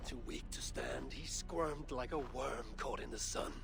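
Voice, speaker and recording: An actor playing a self-assured, stern and wry Norse god with a gravelly voice, in a video game cutscene. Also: male voice, medium pitch, measured pace, music in the background. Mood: steady, resolute.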